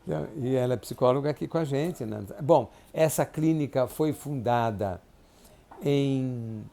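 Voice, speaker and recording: Older man, 70s, gravelly and dark-toned; halting, reflective interviewee with faint room hiss. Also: mid-range voice. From Brazil. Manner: halting, reflective, many restarts and tag questions. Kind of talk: oral history interview. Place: clinic office.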